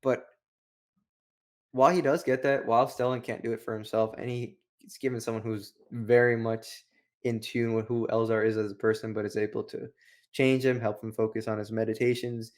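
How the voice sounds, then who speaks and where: A man's voice: mid-range voice, level tone, normal speed, darkish tone. A man co-hosting a review show, chatting casually in a rambling, slangy way peppered with "like". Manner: rambling, heavy use of "like". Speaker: book review show co-host. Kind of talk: casual, slangy chat, retelling and commenting.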